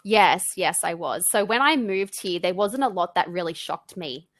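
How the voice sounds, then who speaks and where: A young woman with an Australian accent, chatting relaxed and friendly as an English tutor on a video call. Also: lowish voice, normal speed. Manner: relaxed, chatty, many fillers. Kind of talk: casual online lesson chat.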